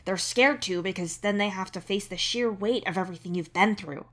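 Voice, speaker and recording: A woman's voice: medium pitch, brisk, a bit bright, natural voice. A woman voicing an earnest, indignant male alien troll with a blunt, emphatic delivery.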